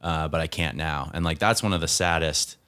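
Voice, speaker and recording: Deep, smooth-voiced male podcast co-host. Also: fairly even intonation, brisk, sharp tone. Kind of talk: casual podcast conversation.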